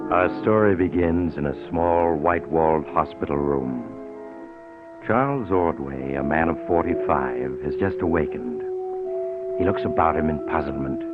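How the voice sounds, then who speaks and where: Over loud music on an old, thin radio recording comes a sinister male storyteller. His deep voice is theatrical and ominous, deliberately drawing listeners into eerie tales. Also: phone-quality sound. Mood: ominous storytelling. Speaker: host narrator of a radio mystery series. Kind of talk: 1940s radio horror narration.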